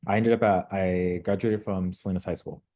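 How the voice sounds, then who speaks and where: A young man from Mexico answers interview questions, thoughtful and measured, pausing on small fillers. His voice sits low and deep, with a dark, mellow tone. He sounds like a local official telling his own story. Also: steady tone. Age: young adult. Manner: measured, reflective, with filler words. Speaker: guest, local elected official.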